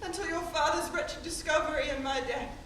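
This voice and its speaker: Ghostly anguished mother, female actor, stage tragedy, very high and bright, emotional, maternal. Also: fairly even intonation, a little fast. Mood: fond, reproachful. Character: anguished dead mother's spirit, fond, wailing, maternal. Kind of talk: theatrical tragic monologue. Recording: very echoey hall, distant mic, faint noise.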